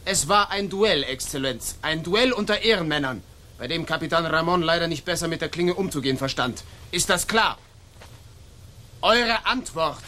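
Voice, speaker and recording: Bold, high-voiced young gentleman rebel. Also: moderate pace, slight background noise. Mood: insistent. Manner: declamatory, insistent. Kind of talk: German radio drama acting.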